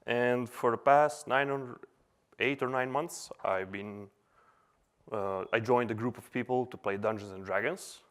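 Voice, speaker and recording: A man's voice: mid-range voice, takes it slowly, long pauses, a bit bright. Casual Serbian man giving a conference talk. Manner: casual, chatty with filler words. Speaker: conference speaker. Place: conference hall.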